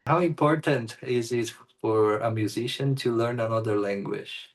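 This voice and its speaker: A man hosting an interview, asking friendly questions and reacting briefly, with somewhat halting phrasing. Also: mid-range voice, low-quality recording. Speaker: interviewer, host.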